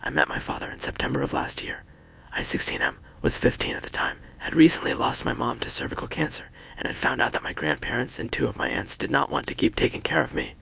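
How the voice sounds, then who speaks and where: A man narrating a scripted first-person story in a hushed, breathy whisper. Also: mid-range voice, sing-song, fast.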